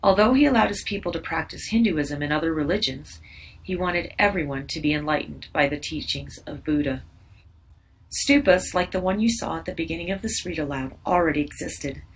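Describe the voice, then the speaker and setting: Woman w low voice reading a kids history text aloud, off mic, faint noise behind. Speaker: read-aloud narrator. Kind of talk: scripted educational read-aloud narration for children. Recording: distant mic with background noise.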